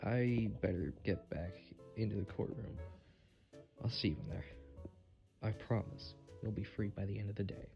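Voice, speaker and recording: A man playing an earnest, determined defense attorney in an audio drama, measured and theatrical, on a dull lo-fi microphone. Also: lowish voice, vocal fry, over music. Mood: reassuring.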